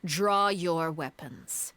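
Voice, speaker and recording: A woman voicing a stern, composed leader in an anime game, speaking with measured, formal authority. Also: on the low side, fairly bright tone, no room sound. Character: stern, commanding adult female crime-house leader, cold and composed.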